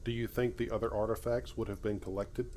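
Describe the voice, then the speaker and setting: Courteous spacefaring recruit, male amateur actor: lower voice, articulate wry game dialogue, noisy background. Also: little pitch movement, fairly quick, darkish tone, close to an everyday voice. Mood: curious, dry. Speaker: gamer voicing his own player character. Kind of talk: amateur voice-over for game playthrough. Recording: home voice-over with clear background noise.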